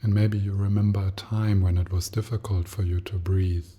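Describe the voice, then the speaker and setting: A man guides a meditation in a low, breathy voice. His tone is dark and soft, with a husky grain. He speaks in short, gentle phrases that stay nearly level, leaving long pauses between them. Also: level tone. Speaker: meditation teacher.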